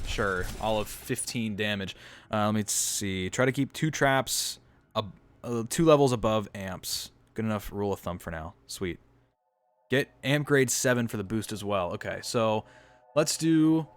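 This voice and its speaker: Streamer, male, lowish voice, offhand running commentary, faint music underneath. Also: smooth. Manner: thinks aloud, answers chat, self-mocking asides. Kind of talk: casual gameplay livestream commentary.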